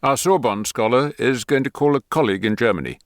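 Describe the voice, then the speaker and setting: A man voicing a male intelligence officer giving a quick briefing. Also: medium pitch, no echo, studio quality, natural voice. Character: male French intelligence officer on a video screen.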